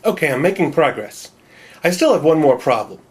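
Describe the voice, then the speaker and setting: A man's voice: a little high, very animated, fairly quick, a little mellow, breathy edge. Nasal man narrating a wry tutorial. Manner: wry, joking. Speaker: video creator. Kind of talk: self-deprecating tutorial narration.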